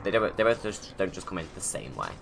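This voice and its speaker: A man's voice: sits low, sing-song, fast talker, vocal fry, background noise. A man giving casual, informal livestream commentary, rambling and thinking aloud as he goes. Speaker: video creator giving commentary.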